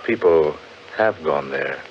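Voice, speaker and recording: An actor playing an earnest, brooding gentleman in a theatrical 1940s radio horror drama, insistent and given to hesitant pauses. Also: extremely low pitch, lively intonation, exaggerated voice. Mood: earnest, ominous. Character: earnest, brooding gentleman fascinated by eerie legends. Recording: muffled, noisy 1940s radio recording.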